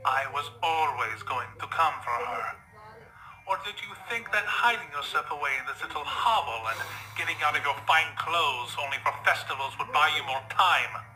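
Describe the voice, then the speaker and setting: A man's voice: on the high side, animated, moderate pace, sharp tone, faint music behind, pitched above the performer's own voice, poor audio. A man voicing a menacing, courtly vampire stallion in an audio story, with an Eastern European accent and a pleasantly lilting, threatening delivery. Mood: smooth menace. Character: menacing, courtly male vampire stallion stranger, possessive father.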